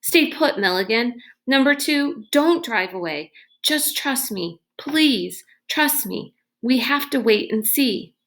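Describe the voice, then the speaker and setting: Lady reading as an earnest storybook boy of eleven, high voice, urgent and bossy. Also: animated, takes it slowly, heavily acted. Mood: urgent pleading. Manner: urgent, forceful. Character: earnest eleven-year-old boy, quietly commanding.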